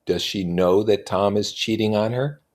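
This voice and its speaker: A man reading a literary novel aloud as an expressive narrator and commentator. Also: fairly low pitch, normal speed. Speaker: reader and commentator.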